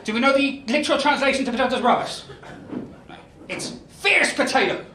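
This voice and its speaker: A young man in his twenties with a West Country accent doing a self-deprecating stand-up comedy set with deadpan punchlines. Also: extremely high pitch, fairly quick, background noise. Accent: West Country English. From Ireland, raised in Spain. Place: comedy club stage. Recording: echoey club with crowd laughter. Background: audience laughing.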